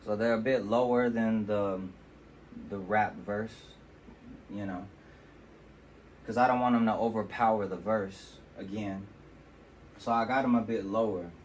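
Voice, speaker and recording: A man with a low, deep voice talks through his vocal mix in a laid-back home-studio tutorial. He explains things loosely, leaning on "you know" as he goes. A faint hum of room noise sits behind him. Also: fairly even intonation, a little slow, long pauses. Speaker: music producer demonstrating vocal mixing. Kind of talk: casual tutorial walkthrough.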